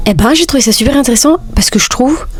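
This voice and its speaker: A woman's voice: sits high, quick pace, breathy edge. A woman in her thirties talking casually and playfully as a vlogger, sassy and joking, slipping between French and Dutch. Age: 30s. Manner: playful, sassy, jokes often. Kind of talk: casual chatty vlog.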